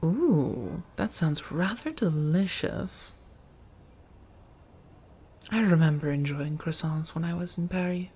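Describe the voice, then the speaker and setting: A woman acting as an affectionate female vampire roommate in an ASMR roleplay. Her voice is low and husky, with soft breath around the words. She speaks intimately to one listener, teasing gently and looking after them. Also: animated, heavily acted. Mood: curious, teasing. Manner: gently teasing, drawn-out phrasing, soft chuckles.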